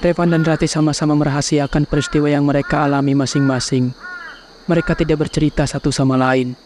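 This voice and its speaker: A man narrating a horror cartoon in a plain, steady storytelling voice. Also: on the high side, quick pace, slight background noise. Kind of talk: scripted narration.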